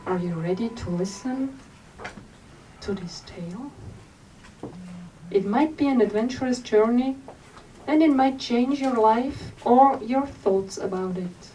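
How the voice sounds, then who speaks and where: A woman reads her memoir aloud at a bookstore signing. Her voice sits fairly high yet sounds mellow and warm. She takes her time over every phrase, gentle and unhurried, and she is caught from some way off the mic, with a faint hum of room noise behind. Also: recorded from a distance, rough recording. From Switzerland. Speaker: author.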